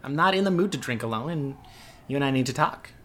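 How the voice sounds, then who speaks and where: A man's voice: mid-range voice, expressive, fast, slight background noise, natural voice. An actor playing a brash, teasing, cocky man in an audio drama. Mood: cocky, coaxing. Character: brash, teasing, cocky, foul-mouthed drinking companion.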